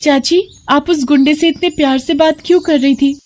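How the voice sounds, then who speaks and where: Woman voicing a high, plaintive young girl. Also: steady tone, normal speed, darkish tone, a little hiss or hum, natural voice. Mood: distressed. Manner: plaintive, earnest. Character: troubled innocent young orphan-like girl. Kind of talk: animated moral story voice acting.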